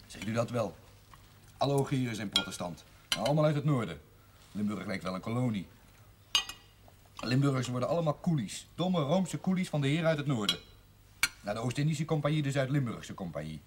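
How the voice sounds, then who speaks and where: An actor playing a stubborn, resentful young grocer in a 1970s television drama, blunt and terse. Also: male voice, medium pitch, sing-song, a little fast, long pauses, lightly acted. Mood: bitter, scornful. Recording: noisy 1970s television soundtrack.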